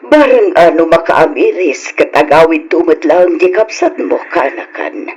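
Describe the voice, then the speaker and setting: Husky, high-pitched Ilocano elder played by a man. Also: sing-song, unhurried, a little mellow, exaggerated voice, low-quality recording. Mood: concerned. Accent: Filipino, Ilocano. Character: concerned elder relative giving family advice. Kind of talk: Ilocano radio drama acting.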